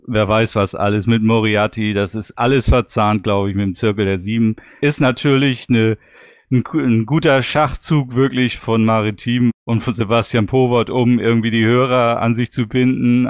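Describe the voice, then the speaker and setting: A man with a fairly low, smooth voice chats as a podcast host. He sounds enthusiastic and emphatic, and the sound is close and dry. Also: no room sound. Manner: enthusiastic, often repeats "wirklich". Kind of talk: casual panel chat reviewing audio dramas.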